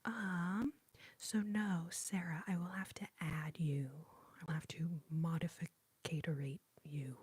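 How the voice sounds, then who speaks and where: Breathy whispering woman from Texas. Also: fairly low pitch, steady tone, unhurried, no echo. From Austin, Texas. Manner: soft whisper, chatting with viewers, giggly. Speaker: ASMR livestream host. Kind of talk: whispered ASMR livestream chat.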